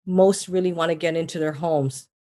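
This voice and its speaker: A woman's voice: sits low, fairly even intonation, normal speed, mellow. A woman raised in Vancouver, a mortgage broker as a podcast guest, talking in a rambling way with many restarts and fillers. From Trinidad, raised in Vancouver, Canada. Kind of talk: casual interview talk.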